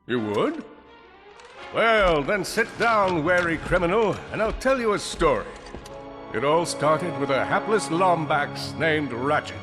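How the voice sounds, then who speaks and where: A washed-up cartoon space superhero for a game. He is pompous and melodramatic, fairly high-pitched with big swoops, over clearly audible music. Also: animated, unhurried. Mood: theatrical storytelling. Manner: pompous, dramatic. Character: vain, pompous male space superhero, theatrical and self-pitying.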